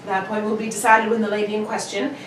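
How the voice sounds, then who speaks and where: A woman's voice: medium pitch, level tone, fast, natural voice. A woman playing a cheerful, self-assured master detective with formal, precise phrasing in a radio drama read-through. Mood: explaining. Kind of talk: 1940s radio drama read-through without British accent. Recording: roomy, distant mic, faint noise.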